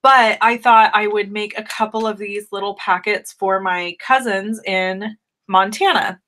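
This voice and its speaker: A middle-aged woman, a little raspy from a cold, hosting a casual live stamping tutorial and greeting viewers by name. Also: mid-range voice, steady tone, measured pace, sharp tone.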